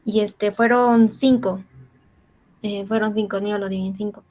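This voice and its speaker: A woman's voice: mid-range voice, fairly even intonation, moderate pace, darkish tone. A woman, a student asking for clarification in a casual, hesitant, self-correcting way.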